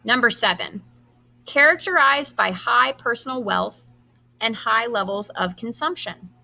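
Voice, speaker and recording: Bright-voiced woman teacher reading aloud. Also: a little high, animated, creaky, rough recording. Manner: instructional, enunciates quiz items deliberately. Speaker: high school science teacher. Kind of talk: reading quiz questions aloud to students, with brief instructions.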